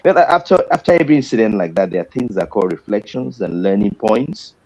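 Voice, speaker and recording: Deep-voiced Nigerian man, measured. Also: expressive, a little mellow, low-quality recording. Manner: deliberate, with pauses and restarts. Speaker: doctor panel guest. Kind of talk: measured panel discussion.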